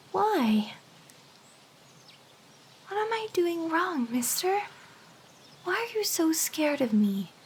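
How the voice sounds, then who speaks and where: A very high, girlish harpy played by a woman for an anime ASMR roleplay. She is young, lovelorn and desperate to win her human. Her delivery is earnest and halting, with stammers and soft whispered phrases. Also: slight background noise, heavily acted. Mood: eager, puzzled.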